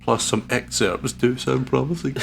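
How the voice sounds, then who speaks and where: Gravelly man reading a visual novel aloud. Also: medium pitch, measured pace, slight background noise. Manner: playful, sweary. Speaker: let's player, narrator. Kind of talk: visual novel read-aloud with casual joking commentary.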